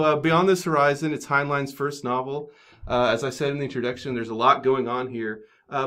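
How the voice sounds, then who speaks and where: A man's voice: medium pitch, fairly bright tone. An American man with a wry, dryly joking manner, hosting a podcast round table and introducing guests. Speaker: podcast host.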